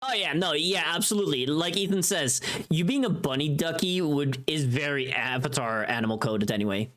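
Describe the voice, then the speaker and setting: Low-voiced, lively woman livestreamer. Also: moderate pace. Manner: playful, teasing, reacting to chat; blunt, casually sweary. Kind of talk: casual livestream chat.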